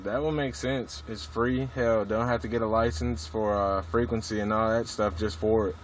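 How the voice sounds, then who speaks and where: A man's voice: on the low side, steady tone, moderate pace. A man chatting casually over two-way radio from a vehicle, with slangy, swearing, jargon-heavy talk. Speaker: CB radio hobbyist filming his radio chat. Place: inside a vehicle at a truck stop. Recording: noisy mobile recording.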